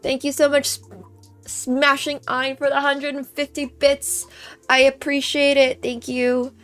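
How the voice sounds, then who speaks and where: A young woman, a native Chinese speaker, hosts a casual live stream in a slightly high voice. She chats easily, thanks viewers by name, and tries out phrases in many languages while soft music plays in the background. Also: level tone, a little slow. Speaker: livestream host. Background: faint background music.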